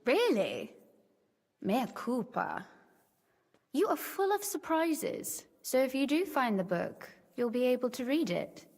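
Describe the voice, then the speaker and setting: A woman's voice: high-pitched, very animated, takes it slowly, darkish tone, in a large hall, full character voice. An actress playing a shrewd, teasing young medieval noblewoman in a video game, speaking in articulate, formal phrases. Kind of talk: scripted game dialogue.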